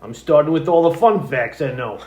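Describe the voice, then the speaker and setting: A man's voice: fairly high pitch, expressive, brisk, warm tone, dull audio, close to an everyday voice. A man playing a cagey, evasive, wisecracking bar regular in a scripted play. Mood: teasing. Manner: sly, evasive. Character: cagey, wisecracking bar patron keeping secrets. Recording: roomy, echoing sound.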